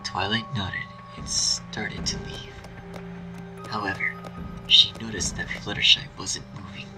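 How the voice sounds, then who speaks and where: A man narrating a scripted horror story in a hushed, breathy voice. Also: fairly low pitch, flat delivery, measured pace. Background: music.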